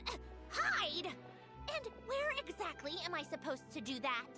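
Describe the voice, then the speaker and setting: A woman's voice: extremely high pitch, sing-song, unhurried, loud music behind the voice, full character voice. A woman playing a haughty, indignant young woman who grumbles with exasperation, in a video game. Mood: exasperated.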